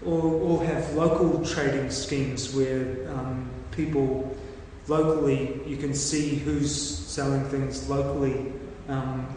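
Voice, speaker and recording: Slow, halting New man in an echoey room. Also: mid-range voice, fairly even intonation, darkish tone. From New Zealand. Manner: slow, halting, thinking aloud with many ums. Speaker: discussion participant. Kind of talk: casual brainstorming chat. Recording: echoey room with long reverb, from a distance, faint noise.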